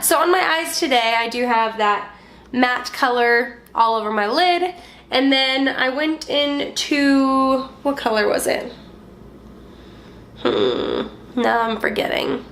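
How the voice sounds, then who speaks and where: Young woman vlogger with a slightly high voice, stuffy from a cold, chatty and relaxed. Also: a little hiss or hum. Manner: chatty, lots of "like" fillers. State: stuffy, voice partly gone from a cold. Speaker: beauty vlogger. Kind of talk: casual product review.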